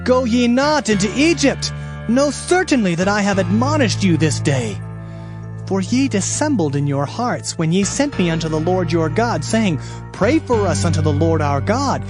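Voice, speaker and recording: Stern, high-pitched prophet over music. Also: male voice. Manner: solemn, declamatory, emphatic. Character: stern Hebrew prophet delivering God's warnings, impassioned. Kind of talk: dramatized scripture reading.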